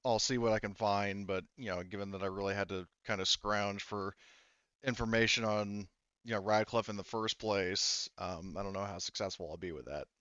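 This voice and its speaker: Man voicing a blunt, hesitant female investigator, crisp. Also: fairly low pitch, steady tone, moderate pace, pushed and bright, no echo, dull audio. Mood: doubtful. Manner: hesitant, casual phrasing.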